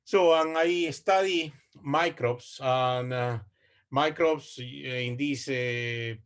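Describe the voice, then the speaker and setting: A man's voice: mid-range voice, slow pace. Guy in his 40s giving a research talk on a video call, lots of uhs and restarts. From Ecuador. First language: Spanish. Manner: hesitant, many fillers and restarts. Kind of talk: online presentation.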